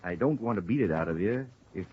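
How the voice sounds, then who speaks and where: A man's voice: low voice, expressive, fast. A man acting a menacing, controlled gunman, terse and commanding, in a 1950s radio drama. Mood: cold menace. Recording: muffled, noisy old radio broadcast.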